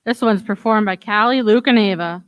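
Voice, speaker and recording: Female announcer for a radio play, crisp mid-range voice, scripted, with playful pirate lingo. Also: mid-range voice, normal speed, muffled recording. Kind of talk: scripted show introduction in playful pirate talk.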